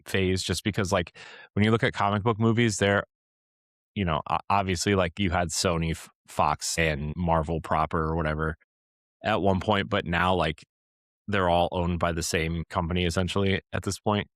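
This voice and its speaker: Deep-voiced man hosting a casual podcast. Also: steady tone, normal speed, a bit bright, no echo, studio quality. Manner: rambling, checks in with "right?". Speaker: podcast host.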